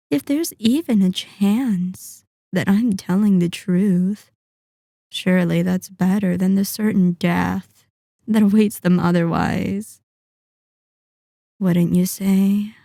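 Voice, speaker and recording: An ancient vampire mistress, acted by a woman for an intimate audio roleplay, savors every word at an extremely slow pace. Her voice is dark and velvety, edged with a gravelly creak. She taunts and commands like a possessive sadist who knows the prey is already hers. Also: medium pitch, no room sound, clean studio sound. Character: centuries-old sadistic, possessive female vampire, silkily menacing. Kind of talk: intimate ASMR roleplay acting.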